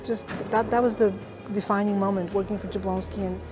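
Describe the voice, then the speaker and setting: A woman's voice: medium pitch, a little fast, music in the background. A woman, a game composer telling her story with passion in an interview. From Bulgaria. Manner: passionate, lively storyteller with fillers. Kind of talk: casual interview answers.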